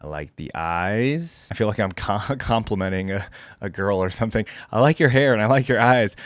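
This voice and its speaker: A man's voice: fairly low pitch, lively intonation, normal speed, no room sound. A man hosting a casual reaction video, thinking aloud informally and hedging his opinions as he comments. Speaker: host of a reaction channel. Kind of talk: casual reaction commentary on game character skins.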